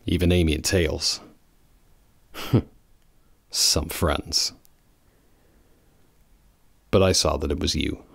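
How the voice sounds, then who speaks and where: A man's voice: sits low, gravelly, husky, no room sound, studio quality, exaggerated voice. A man voicing a bitter, brooding, protective male hedgehog hero in a fan audio drama.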